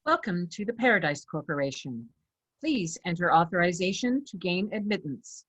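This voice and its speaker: A woman at a table read voicing an impersonal AI security system with formal, measured announcements. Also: lowish voice, animated, a bit bright, husky, dry recording. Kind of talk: screenplay table read acting.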